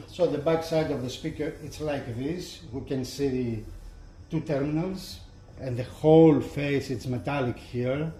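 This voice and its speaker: Male reviewer, relaxed tone, slow and halting, off-the-cuff walkthrough style. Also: mid-range voice, a little hiss or hum, low-quality recording. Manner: halting, hesitant delivery with repeated phrases. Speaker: product reviewer and presenter. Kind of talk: casual unscripted product walkthrough.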